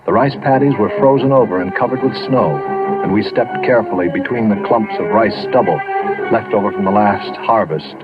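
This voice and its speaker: An American man playing a weary, haunted war veteran who tells his story in a reflective, deliberate radio drama style. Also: on the low side, natural voice. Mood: tense, grave. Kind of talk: vintage radio drama acting and narration. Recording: muffled vintage radio recording, music behind.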